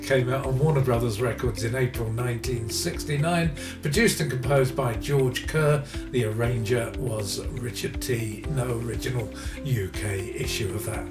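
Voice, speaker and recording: A man's voice: medium pitch, steady tone, moderate pace, recorded from a distance. A man hosting a music radio show, reading out record details and dedications in an informal, somewhat hesitant way. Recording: roomy echo, music playing behind.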